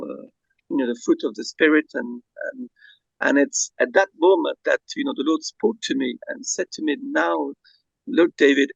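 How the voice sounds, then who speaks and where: A Belgian-born man, Dutch his first language, speaks haltingly with plenty of fillers. His voice has a gravelly edge. The video call audio is dry and a little muffled. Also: mid-range voice, no room sound. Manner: soft-spoken, hesitant, many fillers. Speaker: guest.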